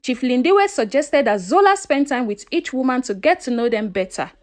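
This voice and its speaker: A woman's voice: high-pitched, lively intonation, moderate pace. A woman narrating a scripted folktale in a dramatic storytelling manner, posing questions to her listeners.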